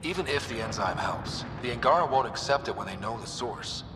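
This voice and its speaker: A young human pathfinder , played by a man, speaks plainly and drily, his intonation moving lively up and down. The lines carry a long reverb and a clear hiss of game ambience. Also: medium pitch, brisk, natural voice. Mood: dry. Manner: dry, direct. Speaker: team leader.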